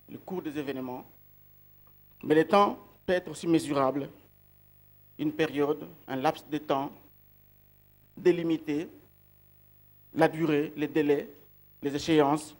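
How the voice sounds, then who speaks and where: Higher-voiced man preaching reflectively. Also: a little mellow. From outside Belgium. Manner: reflective, poses rhetorical questions and repeats key words. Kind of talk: sermon.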